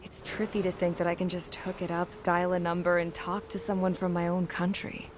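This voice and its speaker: A woman's voice: lowish voice, level tone, a little fast, lightly acted. An actress playing a cocky, resourceful young prisoner, speaking casually and wryly in a game cutscene, with noise behind her. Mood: wry, confident. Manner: casual, slangy, wry. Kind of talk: video game cutscene narration and dialogue.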